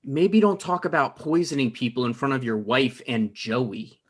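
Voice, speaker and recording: A woman's voice: very deep voice, animated, moderate pace, breathy edge, heavily acted. A woman playing a wary, skeptical man in a comedy table read, questioning and reluctant. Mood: puzzled. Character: wary, skeptical man named John, reluctant poison buyer.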